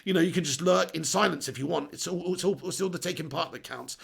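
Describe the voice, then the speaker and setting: A man with a fairly high voice runs a live fan stream, reading viewer comments and venting bluntly with frequent swearing. His voice is gravelly and strained, worn raspy and hoarse as if he has been shouting all day. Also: fast. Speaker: fan channel host reading live chat.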